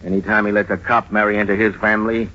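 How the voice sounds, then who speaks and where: A man's voice: on the low side, level tone, quick pace, background noise, close to an everyday voice. A man playing a frustrated, hard-boiled police captain with punchy delivery in an old radio drama. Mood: frustrated, earnest. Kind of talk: 1930s radio drama acting. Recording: dull old radio recording.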